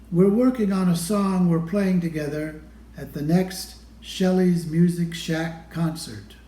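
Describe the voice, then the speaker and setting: A man reading a children's picture book aloud expressively, with deliberate phrasing and pauses. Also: on the high side, mellow, echoey room. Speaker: storybook reader.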